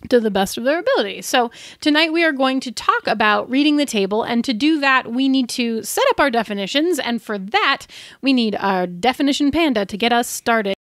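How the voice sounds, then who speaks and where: A woman's voice: a little high, lively intonation, brisk, a bit bright, no room sound. A woman co-hosting a podcast in an informal, chatty, conversational way, close to the microphone. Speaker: podcast co-host.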